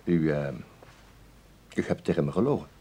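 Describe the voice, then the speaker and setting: A man's voice: very deep voice, expressive, moderate pace, dark tone, husky, exaggerated voice. A man acting a mild, apologetic police investigator in a radio drama, speaking politely and hesitantly. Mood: hesitant, probing. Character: mild, apologetic male police investigator asking probing questions.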